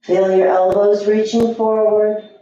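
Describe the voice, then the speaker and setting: A woman teaching yoga, guiding breath and posture gently and soothingly with long pauses. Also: medium pitch, little pitch movement. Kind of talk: guided yoga and meditation instruction. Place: echoey indoor room. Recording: echoey room, slightly dull sound.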